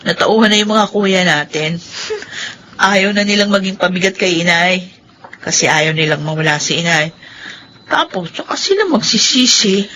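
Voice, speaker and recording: A woman's voice: fairly low pitch, animated, normal speed, bright, forceful tone, slight background noise. A woman playing a practical, encouraging young adult elder sister in radio drama dialogue. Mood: chatty, encouraging.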